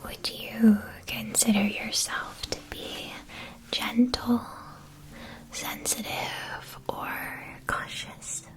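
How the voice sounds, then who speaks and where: A woman playing a gentle, attentive witch-school shopkeeper in a soft, soothing ASMR roleplay. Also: a little high, flat delivery, drawn-out words, poor audio.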